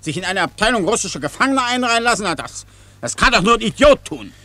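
An actor playing a blustering, condescending Austrian army major in a radio play, pompous and self-assured. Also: male voice, sits high, big pitch swings, quick pace, sharp tone, exaggerated voice. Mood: indignant. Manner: pompous, condescending, barking questions.

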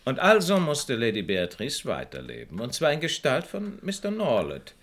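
A man acting in a radio drama as a shrewd, composed detective, speaking in a measured, polite, probing and drily ironic way. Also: medium pitch, wildly swooping pitch, fairly bright tone. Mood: dry irony. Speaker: actor in a radio play.

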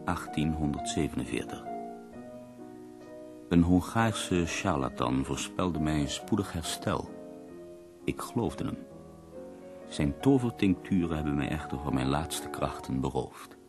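An actor playing a gravely ill, bitterly ironic poet in a radio drama, speaking in a slow, weary, literary manner. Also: male voice, very deep voice, animated, music in the background, exaggerated voice. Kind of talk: radio drama monologue and dialogue.

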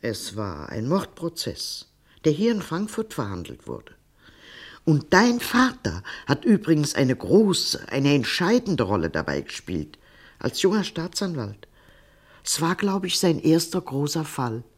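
A woman's voice: sits low, huge pitch swings, a little slow, breathy edge, full character voice. An actress playing an elderly, fond German grandmother in a radio play, musing and hesitant as she recalls the past. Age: older. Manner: hesitant, musing, trailing into recollection.

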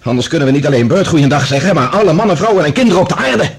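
A man's voice: a little high, expressive, very fast. A male actor playing a concerned, decisive man asking serious questions in a science fiction radio play. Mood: alarmed, resolute. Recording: faint background noise and ambience.

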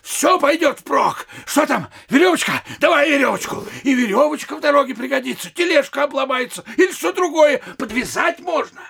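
Creaky, high-voiced greedy manservant. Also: male voice, animated, brisk, few pauses, a bit bright, full character voice. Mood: greedy, eager. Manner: brusque, grabbing, ordering people about. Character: greedy, bossy, practical manservant. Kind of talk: theatrical audio drama comedy acting.

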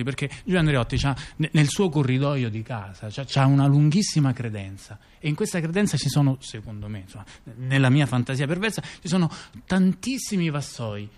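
A man performing conversational satirical storytelling, halting and digressive, repeating phrases and checking in with his listener. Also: mid-range voice, a little mellow. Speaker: storyteller-performer in a two-person stage dialogue.

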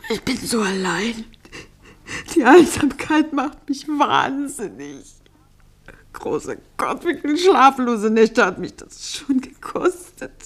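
An actress playing a lonely, needy, jealous estranged wife in a German radio drama, emotional, plaintive and reproachful. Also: female voice, high-pitched, full character voice. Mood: tearful, desperate.

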